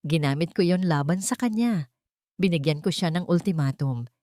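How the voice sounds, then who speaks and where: Storybook tycoon father, older voiced by a woman; low register, solemn confession, regretful but protective, close dry sound. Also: animated, warm tone, no echo. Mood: grave, confessing. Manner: grave, slow, confessional. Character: powerful older billionaire father, remorseful yet fiercely protective.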